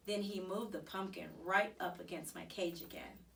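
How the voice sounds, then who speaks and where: A woman reading a children's story aloud in an expressive, animated way with dramatic emphasis. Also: on the low side, normal speed, vocal fry, far from the mic, a little hiss or hum, low-quality recording. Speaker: storybook reader.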